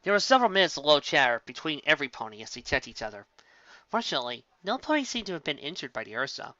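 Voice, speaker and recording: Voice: medium pitch, very animated, quick pace, bright, forceful tone, no echo, muffled recording. A narrator reading a fantasy story aloud as scripted narration, occasionally stumbling over the words.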